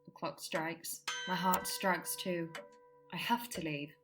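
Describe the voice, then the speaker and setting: A woman's voice: on the low side, fairly even intonation, slow pace, music in the background. A woman playing an anguished, suffocated young woman in a poetic, dramatic radio drama monologue. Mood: melancholy, stifled. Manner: poetic, dramatic, repetitive phrasing.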